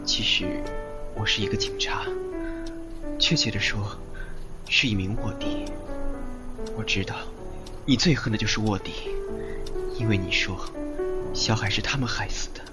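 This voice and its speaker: A man's voice: on the low side, a little slow, pauses often, close to an everyday voice. Man playing a tender, guilt-ridden undercover cop, music behind. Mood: guilty, earnest. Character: lovesick male undercover policeman confessing on tape, tender, guilty. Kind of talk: Chinese amateur audio drama monologue. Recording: voice under loud background music. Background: loud music.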